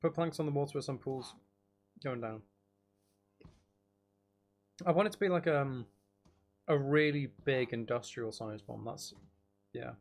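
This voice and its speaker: A man's voice: mid-range voice, a little slow, long pauses. A man thinking aloud as he plays a building game, chatting casually with musing, playful asides. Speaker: streamer playing a building game.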